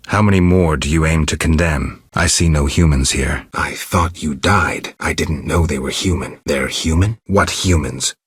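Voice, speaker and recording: A man playing a stoic, weary monster hunter in a video game, terse and deadpan, with a raspy voice. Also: dry recording, clean studio sound, exaggerated voice. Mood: dry, calm. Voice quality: raspy, gravelly low voice. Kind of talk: video game character dialogue.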